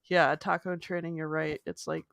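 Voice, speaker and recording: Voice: medium pitch, flat delivery, normal speed, vocal fry, a bit bright, dry recording, studio quality. A livestream co-host chatting casually, reminiscing and laughing often. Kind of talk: casual chat.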